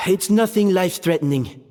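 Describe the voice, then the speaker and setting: A man voicing a formal, composed contest judge in an anime game, with a measured manner. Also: sits high. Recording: long reverb, music behind.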